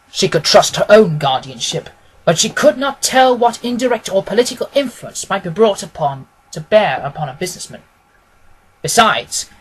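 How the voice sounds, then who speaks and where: Husky voice, confident gentleman detective, acted by a male reader, analytical, emphatic, self-assured, storybook delivery. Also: sits high, full character voice, poor audio. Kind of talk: dramatised audiobook reading.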